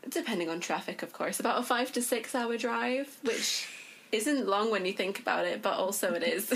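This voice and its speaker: A woman's voice: mid-range voice, moderate pace, vocal fry, a bit bright, a little hiss or hum. A woman with a northern English accent giving casual, slightly hesitant answers as an interview guest. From northern England. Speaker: stage actress, interview guest.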